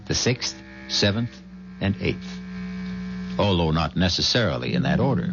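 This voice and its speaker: Deep, slow, theatrical male radio host. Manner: wry, theatrical, deliberate pauses. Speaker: radio drama host and narrator. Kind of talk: scripted dramatic narration, 1970s radio. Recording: dull 1970s radio recording, music behind. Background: music.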